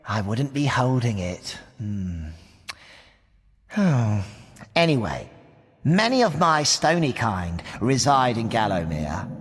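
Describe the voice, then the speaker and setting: A disdainful stone gargoyle in a video game, dry and grandly mocking. The mid-pitched voice swings expressively and trails into a long hall reverb. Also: male voice, a bit bright, breathy edge, exaggerated voice. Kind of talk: video game narrator acting.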